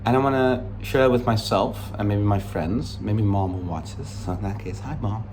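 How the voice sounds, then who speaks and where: A man's voice: lowish voice, normal speed, background noise. A Dutch man in his twenties with a mixed American-international accent, rambling in a cocky, upbeat way through a casual vlog. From Netherlands. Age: 20s. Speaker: vlogger. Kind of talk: casual unscripted vlog monologue. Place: room in London.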